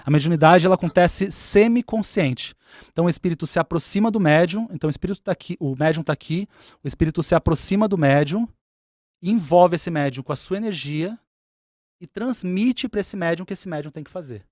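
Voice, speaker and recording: A man's voice: a little high, brisk, darkish tone, no room sound, clean studio sound. A man speaking as a guest on a podcast, explaining his spiritual practice patiently and repeating key points. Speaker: umbanda spiritual leader and guest.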